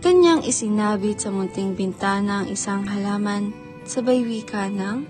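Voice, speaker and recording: A woman with a medium-pitched voice delivers scripted narration of an old epic for a radio drama. Faint music sits underneath. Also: normal speed, a little mellow.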